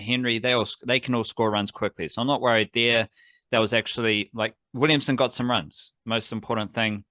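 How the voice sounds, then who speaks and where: A man's voice: lowish voice, bright, forceful tone, no echo. A man hosting a casual sports podcast, rambling enthusiastically and correcting himself as he goes. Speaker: podcast host.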